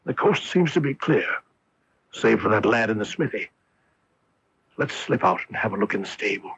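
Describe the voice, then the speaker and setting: A man playing a keen, confident, amused master detective in a theatrical radio mystery style, with a raspy voice. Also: lowish voice, expressive, moderate pace, a bit bright, heavily acted. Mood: triumphant. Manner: theatrical, emphatic deductive delivery. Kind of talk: 1980s radio mystery acting. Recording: dull 1980s AM radio broadcast.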